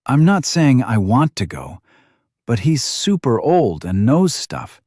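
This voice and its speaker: A man plays a youthful fantasy audiobook hero. He is casual and frank yet polite, with lightly teasing phrasing and a very animated pitch. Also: takes it slowly, dry recording, studio quality, full character voice. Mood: earnest, casual.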